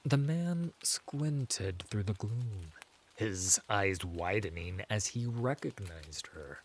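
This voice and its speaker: A man with a fairly low voice reads a fantasy tale. His scripted narration is very slow and measured, set off by dramatic pauses. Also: expressive, slightly rough.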